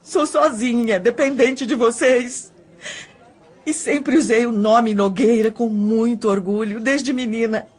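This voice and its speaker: A woman's voice: a little high, takes it slowly, a little mellow, slightly rough. An actress playing a distressed, timid young woman in an audio drama, hesitant and pleading as she confesses. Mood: tearful, distressed.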